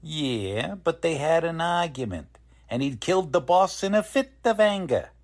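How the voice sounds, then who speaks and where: A very low, slow voice from a woman plays a stubborn, wisecracking police detective in a forties radio serial. The slangy delivery swings emphatically and drips with sardonic cynicism. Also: very animated, a little mellow, heavily acted. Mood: dismissive, sardonic. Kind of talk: 1940s radio drama acting.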